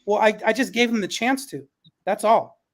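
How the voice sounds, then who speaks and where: High-pitched man moderating a panel. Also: moderate pace. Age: adult, parent of a newborn. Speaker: panel host and schoolteacher.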